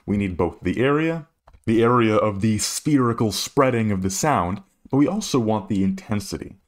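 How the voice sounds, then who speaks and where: A man with a low-pitched voice gives an instructional explanation. He works through a problem as a tutor would, laying out each step methodically. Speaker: physics tutor.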